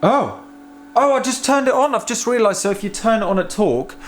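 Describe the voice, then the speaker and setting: A British man giving casual, excitable hands-on commentary as he tinkers with gadgets, thinking aloud informally. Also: high-pitched, fairly quick, very noisy. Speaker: host of a vintage technology museum channel.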